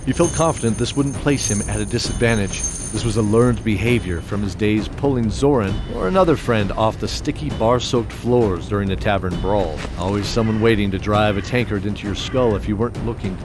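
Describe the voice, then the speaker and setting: A man narrates a fantasy battle in a measured storytelling manner. His voice sits on the low side. A loud music score plays under his words.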